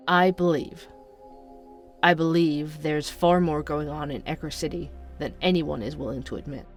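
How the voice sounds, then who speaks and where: A man's voice: on the high side, close to an everyday voice. An actor playing an earnest, curious young man who hosts an amateur podcast, narrating an audio drama in a musing way. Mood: eager, chatty.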